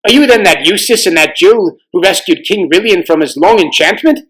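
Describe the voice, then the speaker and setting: A man's voice: high voice, expressive, brisk, fairly bright tone. Man playing a noble, earnest storybook king. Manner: grand, archaic, courtly speech. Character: noble, earnest young king of a fantasy realm.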